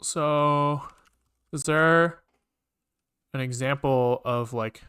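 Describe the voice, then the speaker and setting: Bright, clear-voiced man thinking aloud. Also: mid-range voice, drawn-out words. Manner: informal, mutters to self, swears casually. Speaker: programmer live-streaming. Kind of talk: casual think-aloud live coding commentary.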